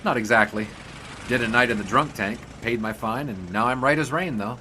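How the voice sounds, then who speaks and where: An actor playing a wry, earnest 1920s sportswriter in an audio drama, polite and reflective. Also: male voice, medium pitch, fairly quick, bright, forceful tone, a little hiss or hum, natural voice. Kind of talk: period audio drama dialogue and first-person narration.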